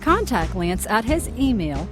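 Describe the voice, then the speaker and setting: A woman's voice: medium pitch, very animated, a little slow. A woman announcer reading scripted credits for an audio drama. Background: theme music.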